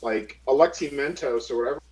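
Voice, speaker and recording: A man casually describing things as a tabletop game player, in halting, trailing phrases. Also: medium pitch, darkish tone, poor audio.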